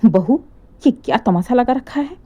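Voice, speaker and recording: A woman's voice: on the high side, lively intonation, moderate pace, warm tone, husky, lo-fi sound. A woman voicing a proud older mother-in-law in a family story, bossy, defensive and scolding. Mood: flustered scolding.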